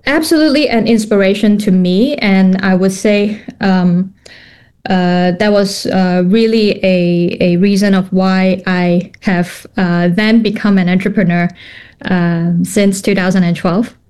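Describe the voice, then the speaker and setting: A woman's voice: medium pitch, steady tone, unhurried, darkish tone, clean tone. A woman in her thirties from Hong Kong, a company founder answering interview questions thoughtfully, with many fillers and restarts.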